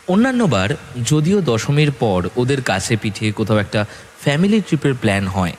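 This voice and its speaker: A man narrating a scripted horror story in a dramatic, expressive storytelling manner with measured phrasing. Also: medium pitch, slight background noise. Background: background music.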